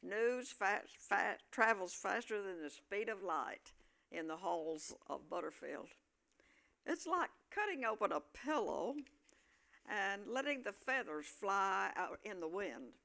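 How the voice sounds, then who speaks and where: A woman's voice: medium pitch, very animated, slow pace, gravelly, fairly bright tone. A woman narrator reading scripted storytelling for an amateur staged play.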